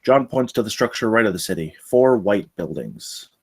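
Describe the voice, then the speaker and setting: A man's voice: lowish voice, expressive, moderate pace, slightly rough. A man reading stage directions as the narrator of a screenplay table read.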